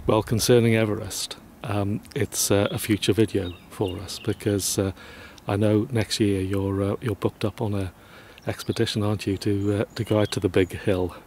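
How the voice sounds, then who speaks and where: A man's voice: lowish voice, flat delivery, normal speed, hardly any pauses, darkish tone, a little hiss or hum. A man hosting an interview and asking questions politely, with many "um" and "uh" fillers.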